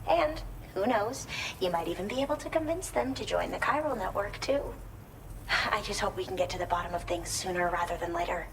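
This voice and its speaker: A woman's voice: medium pitch, brisk, lightly acted. An actress playing a friendly, earnest outpost woman in a video game, speaking conversationally. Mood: friendly, hopeful. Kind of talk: scripted video game dialogue. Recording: distant from mic, faint ambient noise.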